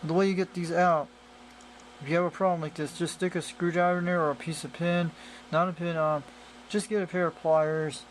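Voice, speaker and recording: Higher-pitched man rambling over background noise. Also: level tone, fairly quick. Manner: rambling, repetitive explaining. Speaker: radio hobbyist presenter. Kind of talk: casual hands-on product demonstration. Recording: noisy amateur camera audio.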